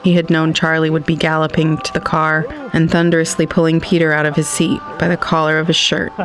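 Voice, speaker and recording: Even-toned man narrating a story. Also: fairly high pitch, a little fast, faint music behind. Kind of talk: scripted literary audio drama narration.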